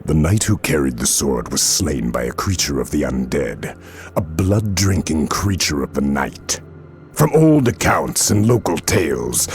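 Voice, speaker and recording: A man's voice: extremely low pitch, full character voice. A man playing a stern, devout warrior priest in a fantasy game, speaking in a solemn, weighty, dramatic way. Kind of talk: fantasy video game voice acting.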